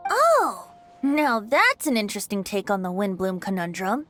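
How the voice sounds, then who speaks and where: A woman's voice: medium pitch, lively intonation, moderate pace, over loud music, natural voice. Woman as a lilting, playful boy bard. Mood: theatrical delight. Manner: theatrical, flourishing, playful. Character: playful, theatrical youthful boy bard, breezy and teasing. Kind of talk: video game voice acting.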